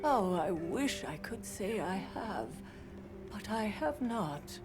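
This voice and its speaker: A woman playing a gentle, sympathetic villager in a video game cutscene, speaking tenderly and deliberately. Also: mid-range voice, sing-song, over music. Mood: sympathetic, regretful.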